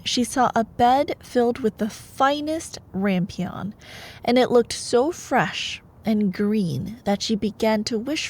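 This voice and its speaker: A woman in an ASMR bedtime storytelling style, expressive and playful, like a girlfriend reading aloud. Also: fairly high pitch.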